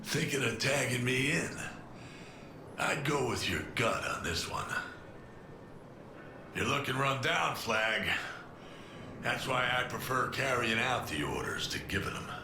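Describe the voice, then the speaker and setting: An actor playing a calm, disciplined older military mercenary in a video game, speaking in a measured, matter-of-fact way. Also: male voice, medium pitch, echoey room, noisy background. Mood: dry, nudging. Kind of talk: video game character dialogue.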